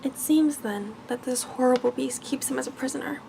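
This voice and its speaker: A woman voicing a sweet, trusting young maiden in a soft, musing way for a bedtime fairy tale. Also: sits high, fairly quick, creaky, background noise. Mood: wistful, puzzled.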